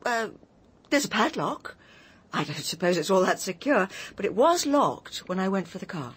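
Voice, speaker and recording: An actress playing a composed, tart British village housekeeper in a radio mystery, crisp, precise and dryly indignant under police questioning. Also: female voice, mid-range voice, big pitch swings, moderate pace. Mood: matter-of-fact.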